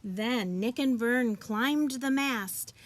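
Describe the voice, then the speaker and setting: A woman reading a children's picture book aloud, expressively and deliberately, as a teacher would for young listeners. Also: on the high side. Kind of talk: children's storybook read-aloud.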